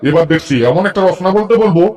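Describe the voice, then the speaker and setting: A man's voice: high voice, quick pace, darkish tone, natural voice. A man voicing an exasperated, sarcastic cartoon schoolteacher with a scolding, scheming manner. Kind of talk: comic cartoon dialogue.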